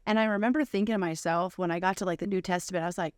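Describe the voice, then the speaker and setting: Informal woman host, clear voice. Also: lowish voice, steady tone, rapid-fire, no room sound, studio quality. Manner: informal, slangy, affirming the guest often. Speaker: interviewer, host. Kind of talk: casual chat interview.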